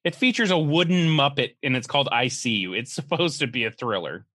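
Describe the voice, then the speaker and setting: A man's voice: medium pitch, expressive, fairly quick, pushed and bright, no echo, studio quality. A man co-hosting a podcast, joking sarcastically and rambling as he picks apart a movie poster. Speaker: podcast co-host. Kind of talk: casual comedic chat reviewing a movie poster.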